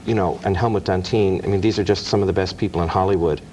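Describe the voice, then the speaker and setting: A man who is a guest author, eagerly enthusing with quick repeated starts. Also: low-pitched, fairly even intonation, darkish tone, a little hiss or hum.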